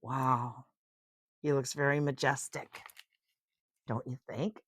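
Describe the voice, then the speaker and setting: An older woman reading a children's picture book aloud in an expressive, unhurried way, with chatty asides of wonder. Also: extremely low pitch, no room sound, studio quality.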